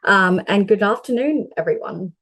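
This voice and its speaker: A woman's voice: medium pitch, lively intonation, moderate pace, a bit bright, dry recording. A young woman from Sri Lanka giving a prepared talk as a mental health advocate, dialing in over a video call. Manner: reads a prepared presentation steadily.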